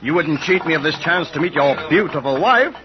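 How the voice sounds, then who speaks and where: An actor playing a nervous gentleman passenger who narrates his story, speaking hesitantly and apologetically in an old radio drama. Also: a little high, lively intonation, brisk, a loud crowd, natural voice. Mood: apologetic. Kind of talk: 1940s radio acting. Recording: dull old radio recording with hiss.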